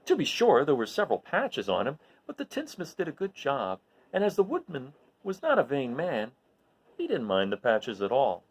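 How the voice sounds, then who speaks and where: A man reads a storybook aloud to his listeners. His delivery is animated and very varied in pitch, and his voice has a creaky, gravelly edge. He tells the tale expressively, as if to children. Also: normal speed. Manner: animated, recapping with questions to listeners. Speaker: storyteller reading aloud to an audience.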